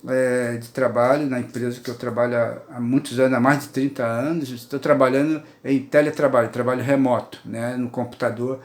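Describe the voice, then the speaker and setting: A man's voice: mid-range voice, flat delivery, fairly quick, hardly any pauses, roomy sound. A man in his sixties with a Brazilian Portuguese accent, giving a rambling, earnest personal monologue from his apartment and often checking in with his listeners. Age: 60s. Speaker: poet and writer sharing views.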